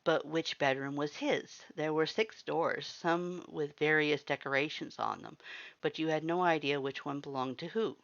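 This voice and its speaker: A woman reading a story aloud in an expressive audiobook narration style. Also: sits low, normal speed, vocal fry, dull audio. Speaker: fan fiction narrator.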